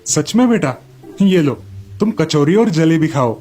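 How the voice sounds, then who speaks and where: A man's voice: a little high, lively intonation, a little slow, dark tone, soft background music. A man voicing a genial, indulgent village sweet-seller and father in a children's story cartoon.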